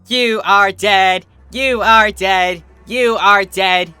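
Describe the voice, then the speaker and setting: A man voicing an eager, naive little girl pony in a story reading, chirpy, childlike and excitable. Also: high-pitched, extremely slow, clear, resonant tone, no room sound, soft background music, pitched above the performer's own voice, heavily acted. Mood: gleeful. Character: eager, naive little filly pony, young female student.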